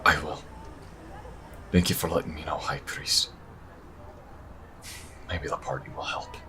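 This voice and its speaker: A man's voice: very deep voice, steady tone, measured pace, bright, forceful tone, slight background noise, heavily acted. A man playing an earnest young priest in a fantasy audio drama, speaking with hesitant sincerity. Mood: worried.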